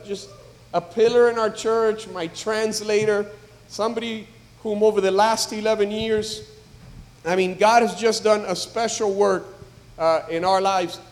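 A man, a pastor, preaching a sermon in a church, building from calm talk to emphatic, loud exhortation. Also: sits high. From United States. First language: English. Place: church sanctuary. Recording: long reverb of a large hall.

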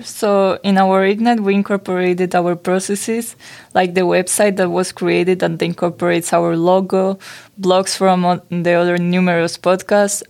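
A young woman from Spain, a student guest reading prepared explanations in an even, informative way. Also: lowish voice. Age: young adult. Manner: even, informative, read aloud.

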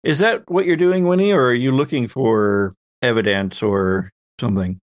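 A man runs a tabletop roleplaying game as its game master. He narrates scenes off the cuff, haltingly, in a mid-pitched voice with a close, dry sound. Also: no room sound.

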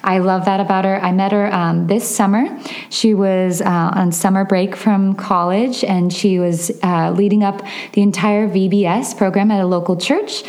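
A woman's voice: mid-range voice, fairly even intonation, normal speed, a little mellow. A woman in her thirties from Los Angeles County, hosting a podcast in upbeat, chatty conversation and laughing often. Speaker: podcast host. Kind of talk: casual interview chat.

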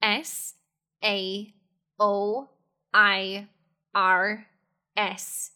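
Awkward young Irish caller, played by a woman; slow, halting, bright tone. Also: mid-range voice, little pitch movement. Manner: hesitant, awkward, many ums. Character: shy young Irish woman calling about a job. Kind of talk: comedy sketch acting.

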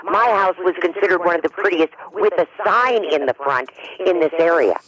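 A man voicing a hostile old woman on a phone call who curses and threatens in drawn-out words. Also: high-pitched, crowd noise, close to an everyday voice.

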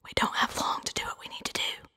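Storybook aunt, acted by a man: Southern woman in middle age, husky hushed voice, calming yet firm. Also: sits high, a little slow, voice pushed up, heavily acted. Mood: hushed, soothing. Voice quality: breathy, hushed, often near a whisper.

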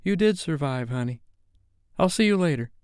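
A man's voice: fairly high pitch, expressive, moderate pace, darkish tone, clear, resonant tone, dry recording, clean studio sound, voice pushed up, lightly acted. A man voicing a bubbly, affectionate, outspoken woman friend in an audiobook. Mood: friendly, teasing.

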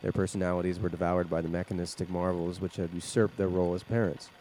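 A man playing a grave, measured doctor in a classic radio drama, formal and clinical. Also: low voice, level tone, mellow, slight background noise, lightly acted. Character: grave male psychologist doctor in a sci-fi radio play. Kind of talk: classic radio play acting.

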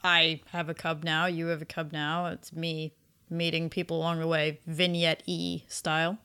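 A woman co-hosting a casual podcast discussion, talking in an animated, conversational way. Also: deep voice, normal speed, smooth. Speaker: podcast co-host.

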